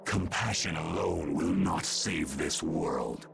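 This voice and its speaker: A man voicing an ancient, stern, imperious creature in a video game, with gravelly, formal, solemn speech. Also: low-pitched, slow pace, noisy background, heavily acted. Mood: cold resolve. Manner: formal, solemn, archaic phrasing. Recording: game music behind the voice.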